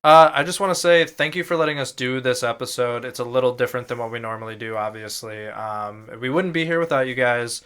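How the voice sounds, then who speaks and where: A man with a mid-pitched voice co-hosts a casual podcast. He talks plainly and bluntly, like he is among friends, and drops profanity freely. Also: a little fast, few pauses, fairly bright tone, clear, resonant tone. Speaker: podcast co-host. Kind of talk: casual chat.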